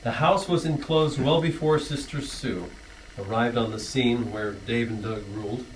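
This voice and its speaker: A middle-aged man reads his own rhyming poem aloud, earnest, pausing now and then with a soft laugh. His voice sits at an ordinary pitch. He is heard from across a church hall on a camcorder, with faint room noise behind him. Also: far from the mic. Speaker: son reading an anniversary poem.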